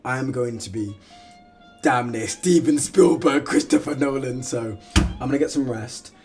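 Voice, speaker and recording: A young man from the West Indies, chatting casually in a vlog, animated and self-mocking, swearing and saying "like" a lot. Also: medium pitch, measured pace, faint music behind. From Guyana and the West Indies. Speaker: vlogger and outreach coach. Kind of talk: casual vlog talk to camera.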